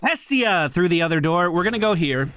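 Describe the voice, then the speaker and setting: A man's voice: high-pitched, animated, brisk, fairly bright tone. A man hosting a gaming video, chatting and thinking aloud analytically as he plays. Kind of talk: gameplay commentary. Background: faint game music.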